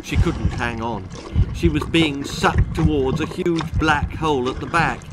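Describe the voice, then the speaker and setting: A man's voice: a little high, a little slow, heavy background noise. A man reading a children's horror-comedy story in a theatrical, precise storytelling style. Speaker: author reading his own story.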